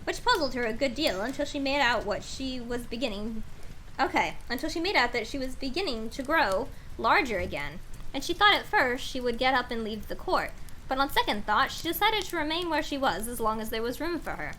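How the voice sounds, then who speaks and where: A woman storyteller with a slightly high, bright voice. She narrates expressively and breaks into casual, playful remarks. There is faint noise behind her. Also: sing-song, brisk. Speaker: storytime streamer reading aloud.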